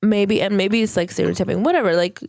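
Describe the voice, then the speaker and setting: A woman's voice: medium pitch, quick pace, creaky, a little mellow, no room sound, studio quality. Blunt, fired-up woman co-host. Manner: blunt, fired-up storyteller who swears. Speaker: podcast co-host. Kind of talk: casual podcast chat.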